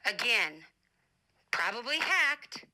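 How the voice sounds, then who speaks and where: A woman's voice: a little high, very animated, slow, bright, forceful tone, poor audio. A middle-aged woman speaking in an informal voice-message monologue, blunt, indignant and defensive, swearing freely. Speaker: advocate and online broadcaster.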